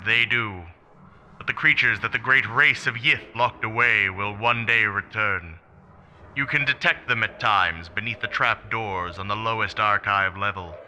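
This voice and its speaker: Man playing a sharp-voiced, formal alien being. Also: fairly low pitch, unhurried, a little hiss or hum. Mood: solemn. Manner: stiff, literal, formal phrasing. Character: formal, grave extraterrestrial being, puzzled by humans. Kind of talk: radio play acting.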